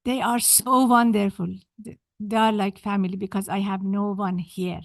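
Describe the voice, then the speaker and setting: A woman's voice: medium pitch, level tone, creaky, no echo. Emotional woman giving public comment. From Denmark. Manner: halting, emotional, non-native phrasing. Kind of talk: public comment at a council meeting. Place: council chamber.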